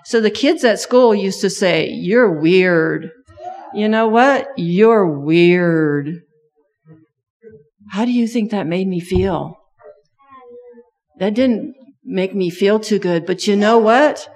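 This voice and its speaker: A middle-aged woman whose first language is Thai tells a children's sermon story at a slow, unhurried pace. She keeps stopping to ask the children questions as she goes. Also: mid-range voice, a little mellow. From Thailand. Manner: asks children many questions.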